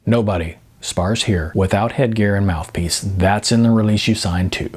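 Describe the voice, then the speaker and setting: A man's voice: fairly low pitch, normal speed, a little mellow, husky. A man voicing a no-nonsense kickboxing trainer in an audiobook, firm, clipped and instructive. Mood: firm warning.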